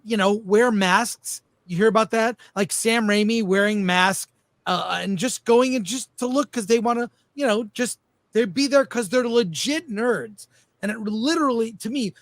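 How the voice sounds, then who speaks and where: A man's voice: sits high, fairly bright tone, dry recording. A man telling anecdotes enthusiastically in casual talk show chat, as a host.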